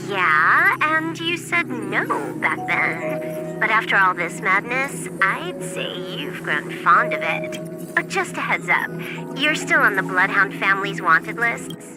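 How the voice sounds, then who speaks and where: Game role: teasing young hacker woman, playful, very varied intonation, loud music underneath. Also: mid-range voice, very animated, slow pace, poor audio. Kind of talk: English video game voice acting.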